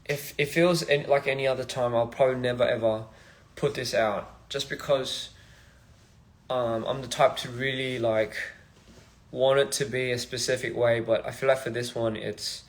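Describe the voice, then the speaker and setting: Young man, musician, livestream chat, halting, soft-spoken, phone mic. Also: medium pitch, fairly even intonation. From Australia. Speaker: musician streaming live. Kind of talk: casual live-stream chat.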